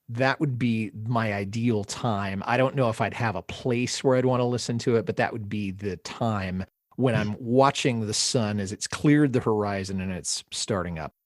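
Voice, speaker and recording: A man hosting a music discussion podcast, speaking in a measured, articulate and reflective way. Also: lowish voice, no room sound, clean studio sound. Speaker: podcast host.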